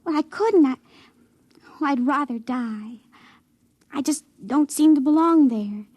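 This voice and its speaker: An actress playing a wistful, hopeful young space station assistant in a 1950s radio drama, hesitant and earnest. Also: female voice, high-pitched, unhurried, a little mellow, no echo.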